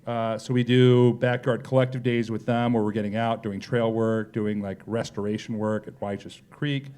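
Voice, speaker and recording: A man with a low, relaxed, mellow voice answers questions as an executive at a live talk. He speaks conversationally, softening his points with small filler phrases. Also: moderate pace, clear, resonant tone. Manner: conversational, hedging with kinda and you know. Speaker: company executive being interviewed. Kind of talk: live panel interview answers. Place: on stage at a live pub talk event.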